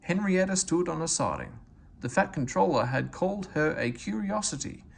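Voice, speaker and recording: A man's voice: on the high side, expressive, moderate pace, gravelly. A man narrating a children's story aloud as a read-aloud reader, with faint noise in the recording.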